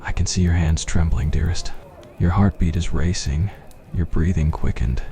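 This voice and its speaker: A man playing a cruel, arrogant young prince who speaks in a cold, menacing, husky murmur. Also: low voice, normal speed, warm tone, a little hiss or hum. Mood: menacing teasing.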